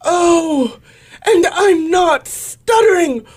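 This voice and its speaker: A man playing an excitable, pedantic elocutionist in an old-fashioned radio play, florid and theatrical. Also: extremely high pitch, extremely slow, exaggerated voice. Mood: overjoyed, tearful.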